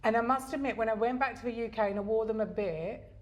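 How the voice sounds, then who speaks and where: A woman's voice: on the high side, steady tone, fast talker. A middle-aged woman from England with a Southern English accent, co-hosting a podcast and telling stories in casual chat, with lots of fillers and restarts. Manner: chatty storyteller with frequent fillers and restarts. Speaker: podcast co-host.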